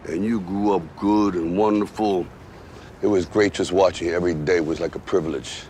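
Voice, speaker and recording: A man's voice: mid-range voice, close to an everyday voice. Film drama: male actor as an older, devoted, plain-talking working-class dad, earnest tough love, slight noise behind. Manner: plain working-class speech with dropped grammar. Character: ageing, plain-spoken, devoted father giving his son tough love. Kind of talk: naturalistic film drama monologue. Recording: film audio with faint background noise.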